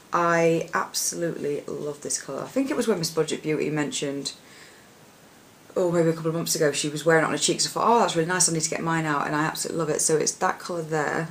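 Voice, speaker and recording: Chatty husky young woman. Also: low voice, steady tone, fast talker, fairly bright tone, slight background noise. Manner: chatty, rambling, lots of fillers and restarts. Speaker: beauty vlogger. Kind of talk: casual product chat to camera.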